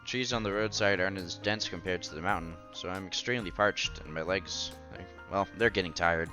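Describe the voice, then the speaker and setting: A man's voice: low-pitched, fairly quick. A man reading a visual novel aloud as narration, sometimes stumbling over words and restarting. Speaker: host of a visual novel read-through. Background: music.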